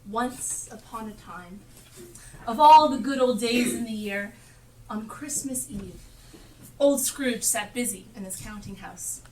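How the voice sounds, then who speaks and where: A woman narrating a Victorian Christmas tale on stage with deliberate, dramatic phrasing. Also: a little high, darkish tone, natural voice. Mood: dramatic storytelling. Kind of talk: theatrical scripted storytelling. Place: theatre stage, live performance. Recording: echoey distant live stage, noisy.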